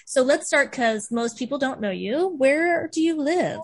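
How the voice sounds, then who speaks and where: A woman hosting a podcast in casual chat, gushing and chatty, with plenty of "like" and "um" and quick laughs. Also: high voice, lively intonation. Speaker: podcast host.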